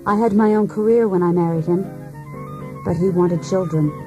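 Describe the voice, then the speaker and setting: An actress playing a disillusioned wife in a poetic radio drama, speaking in a measured, introspective, theatrical manner. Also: female voice, lowish voice, natural voice. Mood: reflective. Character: disillusioned, cool, self-searching wife in a failed marriage. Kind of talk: poetic monologue in a radio play. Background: music.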